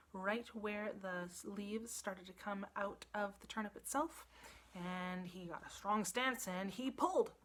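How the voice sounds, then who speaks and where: A woman librarian telling a children's story in an animated style, building drama with emphasis and repetition. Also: medium pitch.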